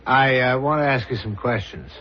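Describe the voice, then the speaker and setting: An actor playing a dogged, brooding police inspector with a deliberate, probing manner, in 1970s radio drama style. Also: male voice, mid-range voice, close to an everyday voice. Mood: brisk, insistent. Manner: deliberate, probing, clipped questions. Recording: dull lo-fi radio drama recording.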